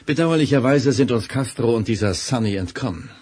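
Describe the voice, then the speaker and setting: An actor playing a concerned, courteous boss in an audio drama, husky, formal and measured. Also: male voice, mid-range voice, animated, a little mellow. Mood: sober, concerned. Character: concerned, courteous male boss of crime-fighting foundation.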